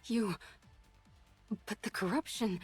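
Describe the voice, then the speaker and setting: An actress playing a capable, protective young soldier and technician with an anxious streak in an anime game. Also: female voice, mid-range voice, slow pace, darkish tone, slightly rough. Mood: shaken. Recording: game music behind.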